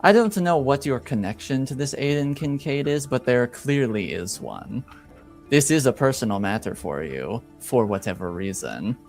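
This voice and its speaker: A man playing a haughty, shrewd duke in a tabletop game, formal, deliberate and skeptical. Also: mid-range voice, animated, darkish tone, soft background music, natural voice. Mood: stern, confronting.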